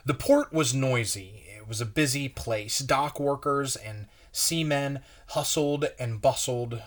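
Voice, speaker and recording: A man reads a children's story aloud as a pastor would to young listeners. His pace is very slow and measured, and his voice sits at an average male pitch. The delivery is expressive, shaped for children.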